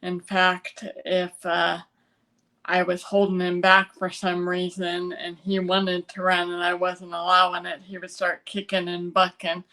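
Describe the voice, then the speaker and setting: A woman with an American accent speaking conversationally as an interview guest, halting often to gather her thoughts. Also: on the low side, monotone, sharp tone. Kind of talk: conversational personal storytelling.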